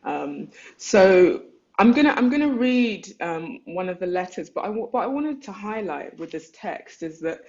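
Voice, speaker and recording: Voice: mid-range voice, lively intonation, moderate pace. Thoughtful lecturer on a muffled call. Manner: thoughtful, searching for words, reads own letters aloud. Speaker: lecturer and artist. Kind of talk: online lecture presenting own thesis.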